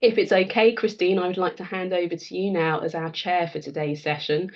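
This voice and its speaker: A woman's voice: on the low side, steady tone, a little fast. A woman hosting an event and giving a welcoming introduction, on slightly dull online call audio. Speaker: event host.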